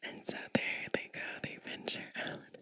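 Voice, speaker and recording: Slow whispering woman, close and crisp. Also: no room sound. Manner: unhurried, gentle, soothing storytelling. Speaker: ASMR host reading a storybook aloud. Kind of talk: whispered ASMR bedtime storybook reading with asides. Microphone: close microphone.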